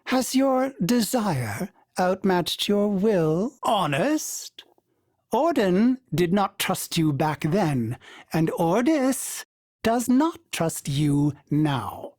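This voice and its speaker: A man's voice: high-pitched, sing-song, drawn-out words, darkish tone, no echo, clean studio sound, heavily acted. A man voicing a fussy, polite male ship AI in a video game, prim and formal and referring to itself in the third person. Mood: scolding.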